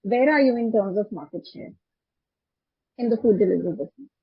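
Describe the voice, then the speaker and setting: A woman's voice: a little high, animated, brisk, creaky, breathy edge, no echo. A woman, an analyst, putting direct, probing questions on a conference call. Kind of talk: questioning, partly quoting a document. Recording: dull, lo-fi phone conference audio.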